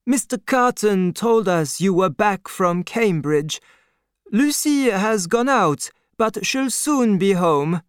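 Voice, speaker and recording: A man's voice: high-pitched, lively intonation, slow, smooth, no room sound, pitched above the performer's own voice. Brief: man doing an older fatherly doctor, raised thin voice, strained and pleading, dry close sound. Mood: warm welcome. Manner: pleading, emotional. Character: aging gentle male doctor, anxious and fatherly.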